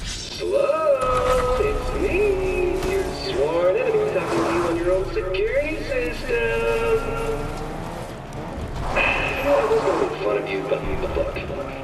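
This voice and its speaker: A man playing a cheeky, sardonic rebel who broadcasts taunting propaganda over a loudspeaker in a video game, with loose, halting comic timing. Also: sits high, full character voice. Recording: echoing, distant loudspeaker with background noise.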